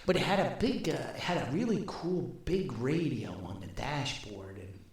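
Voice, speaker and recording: An older man telling a rambling, casual story as a podcast host, with a folksy, wry, halting manner. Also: mid-range voice. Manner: folksy, wry, animated, halting with long asides. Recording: very echoey, reverberant room.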